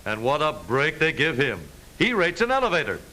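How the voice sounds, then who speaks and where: A man's voice: a little high, huge pitch swings, brisk, sharp tone, poor audio. A man with an American accent reading scripted narration for a vintage educational film in a wry, jaunty newsreel manner. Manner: wry, jaunty, wisecracking asides.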